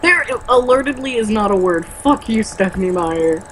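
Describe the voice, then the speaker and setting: Young woman, medium pitch, casual read-aloud, mocking asides, exasperated, foul-mouthed, slightly noisy home recording. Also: animated, normal speed. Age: young adult. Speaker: co-host reading a book aloud with mocking commentary.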